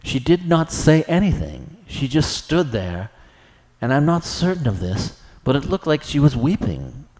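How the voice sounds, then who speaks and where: A man voicing an earnest, lovesick young gentleman in an audiobook, with naive, theatrical, old-fashioned phrasing. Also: medium pitch, sing-song, a little slow, pitched above the performer's own voice, poor audio. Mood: sad, bewildered. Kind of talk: audiobook character dialogue.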